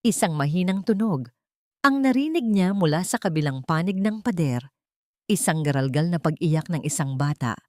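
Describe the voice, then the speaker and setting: A woman's voice: on the low side, a little mellow, no room sound. Woman narrating a drama, close and dry. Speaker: audiobook narrator. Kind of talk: dramatic scripted story narration.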